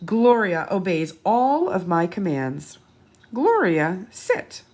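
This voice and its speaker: A woman voicing an earnest male police officer who announces safety rules deliberately in a children's storybook. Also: lowish voice, very animated, darkish tone, slight background noise. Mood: earnest, instructive. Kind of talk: children's storybook character reading.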